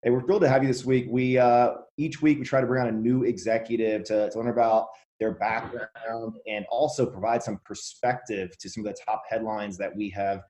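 A man's voice: lowish voice, fairly even intonation, brisk, hardly any pauses, darkish tone, poor audio. A man hosting a podcast interview, upbeat and eager, chatting casually with frequent fillers and restarts. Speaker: podcast host.

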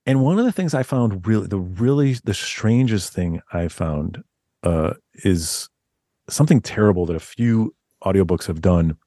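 A man with a deep voice, a guest author talking in a loose, rambling way with many restarts. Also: expressive, moderate pace, warm tone, no echo, clean studio sound. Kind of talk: casual interview chat.

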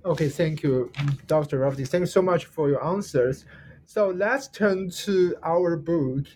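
A man's voice: on the high side, measured pace, a little mellow. Hesitant man hosting, faint noise. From China. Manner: hesitant, frequent self-corrections and apologies. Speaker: podcast host. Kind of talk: interview hosting.

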